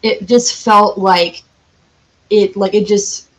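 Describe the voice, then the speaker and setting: Woman, mid-range pitch, casual podcast guest, enthusiastic, giggly, informal. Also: fairly even intonation, slow pace. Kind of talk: casual interview chat.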